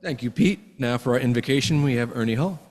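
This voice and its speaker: A man's voice: medium pitch, fast talker, a little mellow, slight background noise. A man hosting a club meeting, making announcements into a microphone. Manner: upbeat, formal emcee. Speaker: meeting host, sergeant at arms. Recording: long reverb in a hall.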